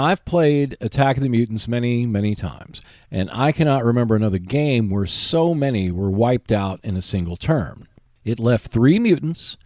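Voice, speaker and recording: A man's voice: medium pitch, darkish tone, no room sound. Guy doing a hobby podcast monologue, voice goes up and down a lot, dry close sound. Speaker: podcast host. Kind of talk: prepared podcast monologue about board games.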